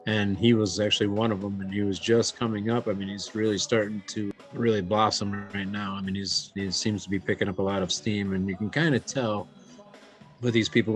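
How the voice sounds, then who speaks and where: A man working as a concert promoter, talking casually with some hesitations in a documentary interview. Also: on the low side.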